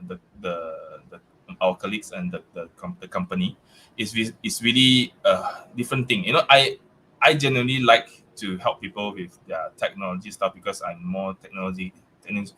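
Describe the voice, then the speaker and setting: A man's voice: lowish voice, pushed and bright, a little hiss or hum, poor audio. A man with a Malaysian accent, English not his first language, talking casually as a podcast guest with many restarts and fillers. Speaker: guest, tech staff member.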